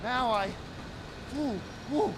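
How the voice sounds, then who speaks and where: Jittery, rambling criminal sidekick. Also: male voice, very high-pitched, takes it slowly, background noise. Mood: dreamy, confessional. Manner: rambling, hesitant, self-correcting. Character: nervous, rambling, oddball male criminal associate briefing a crew. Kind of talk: video game cutscene acting.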